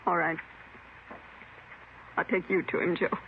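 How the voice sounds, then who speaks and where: A woman's voice: lowish voice, level tone, unhurried, creaky. A woman playing a desperate, pleading wife of an escaped convict in 1950s radio melodrama, distraught and near tears. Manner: emotional, breathless pleading. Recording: muffled, noisy old radio recording.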